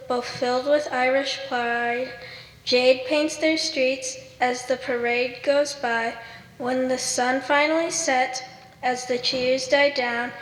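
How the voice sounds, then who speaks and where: A woman's voice: high-pitched, roomy sound, big-room sound, poor audio. A young female student reading her poem aloud on stage.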